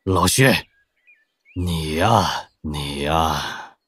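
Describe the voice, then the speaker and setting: An actor playing a stern, stubborn old academy master in an audio drama, raspy and grave as he admonishes. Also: a bass voice, drawn-out words, a bit bright, no room sound, exaggerated voice. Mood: exasperated. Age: older. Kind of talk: Chinese audio drama acting.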